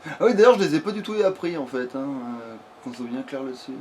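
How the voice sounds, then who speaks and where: A man's voice: mid-range voice, animated, fast, darkish tone. A man giving casual, improvised video game commentary in a crude, swear-heavy way. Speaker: let's player. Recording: clearly noisy background.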